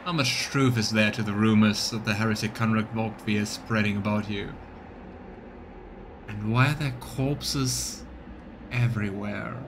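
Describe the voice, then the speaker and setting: Male game streamer, chatty commentary, dramatic read-outs of game text, clearly noisy ambient background. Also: on the low side, fairly even intonation, measured pace. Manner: thinks aloud, chatting with viewers. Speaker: live streamer voicing a game playthrough. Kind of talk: live let's play commentary mixed with dramatic reading of game text.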